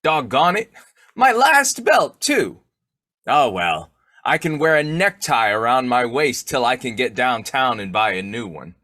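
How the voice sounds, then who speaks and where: An actor playing a boyish, wheedling, wisecracking husband in a 1940s-style radio comedy, with a folksy, exclamatory delivery. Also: male voice, medium pitch, very animated, measured pace, bright, forceful tone, dry recording, clean studio sound, full character voice. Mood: sly, grumbling.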